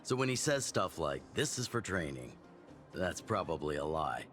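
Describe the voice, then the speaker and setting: An actor voicing a seasoned middle-aged fighting master in a video game, fatherly and reflective, telling stories in a measured way. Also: sits low, very animated, a bit bright, faint music behind. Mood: fond, apologetic. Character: seasoned male fighting master, fatherly, fond of his student. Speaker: mentor character. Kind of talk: video game character dialogue, storytelling.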